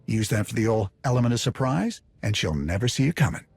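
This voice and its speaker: Game role: self-serving smuggler, glib and jittery, very animated delivery, slightly husky. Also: male voice, on the low side, fast, dry recording. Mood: glib, scheming. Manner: glib, wheedling, stammers when cornered. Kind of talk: video game voice acting.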